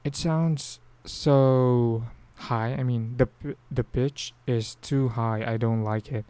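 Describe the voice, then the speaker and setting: Filipino guy talking slow on his podcast, warm smooth voice, lots of restarts. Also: medium pitch, steady tone, no room sound. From Philippines. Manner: rambling, with frequent restarts and fillers. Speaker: podcast host. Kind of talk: casual solo talk.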